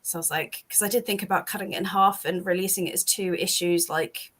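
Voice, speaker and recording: British woman chatting about her comic, lots of ums, losing her voice a bit, bright tone. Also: on the low side, fairly even intonation, quick pace. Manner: rambling, many ums and restarts. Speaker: comic creator guest. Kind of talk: casual chat on a video call.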